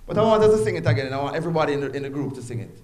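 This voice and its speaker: A high-voiced man with a Jamaican accent addresses an awards ceremony. He talks in a loose, friendly way, stumbling into restarts and asides. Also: animated, racing. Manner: chatty, warm, breaks into song, restarts often. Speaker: guest speaker, executive director. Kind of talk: public speech at a ceremony.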